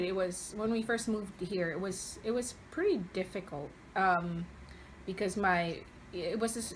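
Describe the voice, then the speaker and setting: Slow, hesitant woman in her thirties. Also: mid-range voice, fairly bright tone, a little hiss or hum, rough recording. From Philippines, Cavite province. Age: 30s. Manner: hesitant, pausing often mid-phrase. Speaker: interviewee. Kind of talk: oral history interview, conversational answers.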